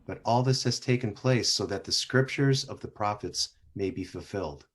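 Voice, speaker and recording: A man reading the part of Jesus in a church scripture drama, in a measured, solemn way. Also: lowish voice, close to an everyday voice. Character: Jesus, calm solemn grown man on trial.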